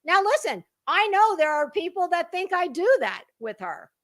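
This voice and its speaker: A woman talking as an online commentator in a casual, dramatic monologue, emphatic and halting, with deliberate pauses and repeated words. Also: very high voice, sing-song, fairly bright tone.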